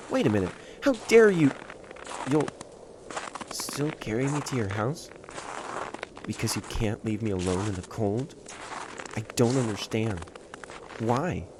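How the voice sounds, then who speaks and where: A man playing a proud, prickly stray catboy who hides his loneliness, in an emotional audio roleplay with a haughty, halting delivery. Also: fairly low pitch, noisy background. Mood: protesting, softening. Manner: tsundere, haughty, defensive, halting.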